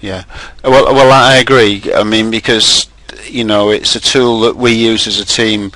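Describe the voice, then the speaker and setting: Loud, bright-voiced man on a group call. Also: on the low side, steady tone, unhurried, no echo, rough recording. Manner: loud, enthusiastic, upbeat.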